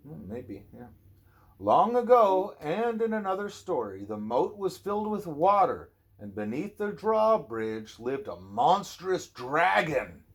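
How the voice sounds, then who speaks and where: A man reading a storybook aloud to a child as a parent, expressively and with drawn-out phrases. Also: on the high side, very animated, breathy edge.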